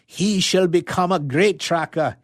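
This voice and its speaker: A man's voice: high voice, a little slow, no echo, studio quality, voice pushed up. A man voicing a proud old elephant driver chief, making a grand declamatory speech in a storybook reading. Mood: proud proclaiming.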